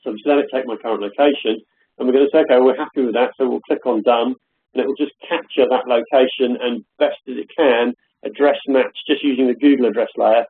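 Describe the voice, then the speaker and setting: A man giving a hesitant, filler-heavy software demonstration on a live call from his home office. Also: medium pitch, fairly even intonation, quick pace, slightly rough, narrow, tinny audio. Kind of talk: live webinar product demo. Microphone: call audio.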